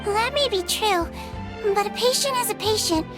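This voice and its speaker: Game role: a very high, childlike nurse girl, gentle, caring and earnest, over music. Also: lively intonation, normal speed. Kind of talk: video game voice acting, anime-style.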